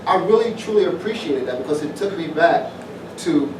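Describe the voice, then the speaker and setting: A man's voice: on the high side, lively intonation, normal speed. Guy talking to a crowd at an evening event, casual and folksy, far from the mic, lots of noise around. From southwest Virginia. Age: middle-aged. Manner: folksy, plainspoken, repeats phrases. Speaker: former pro football player announcing a festival. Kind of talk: informal public speech. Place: evening community event before an audience. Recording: roomy, distant mic, noisy event.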